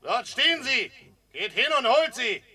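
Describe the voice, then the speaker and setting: Sharp, high-voiced insolent castle steward. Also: male voice, sing-song, unhurried, husky, exaggerated voice. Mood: curt, dismissive. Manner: sneering, curt. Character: insolent, callous castle steward, scornful and dismissive. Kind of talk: German radio drama acting.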